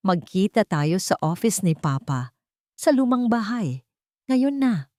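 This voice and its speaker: A woman's voice: medium pitch, sing-song, measured pace, a little mellow, no room sound, studio quality, voice pushed up. A woman voicing a serious, authoritative brother in an audio story, grave and commanding.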